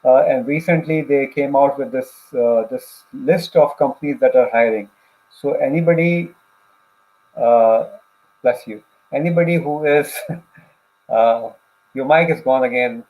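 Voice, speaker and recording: A man co-hosting a conversational careers livestream, speaking in a halting way and repeating points for emphasis over a video call. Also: mid-range voice, darkish tone, poor audio. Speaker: co-host of a career advice livestream for immigrants.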